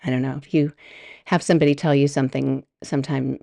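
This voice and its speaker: A middle-aged woman talks through her solo podcast from home, rambling easily and laughing as she goes. Her voice sits low with a gravelly, mellow edge. The sound is close and dry. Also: fairly even intonation, quick pace, no room sound. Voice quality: deep voice. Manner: chatty and rambling, laughs often. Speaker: podcast host. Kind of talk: casual solo podcast monologue. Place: home room.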